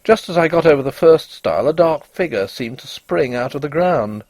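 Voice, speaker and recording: A man reading a ghost story in a theatrical, suspenseful way, with deliberate phrasing and a husky voice. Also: on the high side, expressive, a little mellow, no echo. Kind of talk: dramatic first-person ghost story narration.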